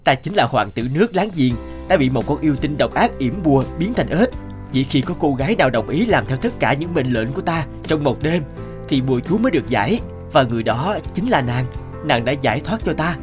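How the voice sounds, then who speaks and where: Guy playing young fairy tale prince, formal noble talk, mid pitch, music behind. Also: lively intonation, fairly quick, lightly acted. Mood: grateful. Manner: formal, courtly. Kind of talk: dubbed cartoon fairy tale acting.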